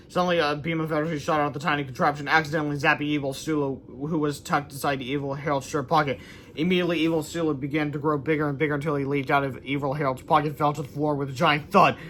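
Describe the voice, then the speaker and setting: Bright, high-pitched man reading a children's book. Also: rapid-fire, slight background noise. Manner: animated, exclaims sound effects. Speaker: read-aloud presenter. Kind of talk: expressive storybook read-aloud with ad-libbed asides.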